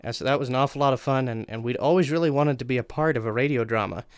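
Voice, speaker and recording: A man's voice: mid-range voice, very fast, clear, resonant tone, no echo, clean studio sound. A young man, a guest writer, recounting his work in a casual, informal way.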